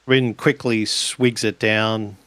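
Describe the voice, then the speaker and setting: A man roleplays in a tabletop game, recorded dry over the sound of rain. His voice sits on the low side and he talks slowly, with measured pauses, sometimes narrating his character in the third person. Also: fairly even intonation, a bit bright, smooth, no echo. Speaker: player in a tabletop roleplaying podcast.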